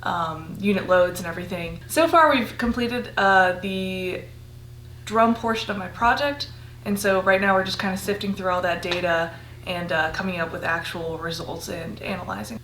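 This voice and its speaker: A woman in her twenties, a graduate student from Costa Rica, answering interview questions in a relaxed way with many fillers. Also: fairly low pitch, normal speed. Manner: easygoing, many fillers and likes. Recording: echoey room with faint hum.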